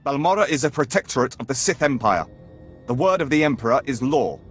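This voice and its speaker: An actor voicing an imperious Imperial officer in a video game, commanding and declamatory. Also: male voice, mid-range voice, very animated, brisk, soft background music, close to an everyday voice. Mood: stern, commanding.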